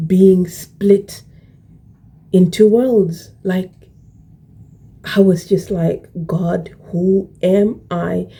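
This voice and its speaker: Slow, very mellow Zimbabwean-British woman. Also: fairly low pitch, breathy edge. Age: early 40s. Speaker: video blogger. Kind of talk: personal reflective talk to camera.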